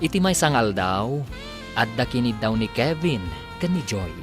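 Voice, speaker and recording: A man's voice: medium pitch, big pitch swings, moderate pace. A man with an Ilocano Filipino accent delivering dramatic scripted narration as a radio drama announcer. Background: music.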